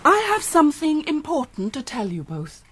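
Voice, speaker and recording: A woman's voice: high-pitched, sing-song, moderate pace, fairly bright tone. A woman playing a pious, submissive noble mother in a Gothic radio drama, formal and earnest in delivery.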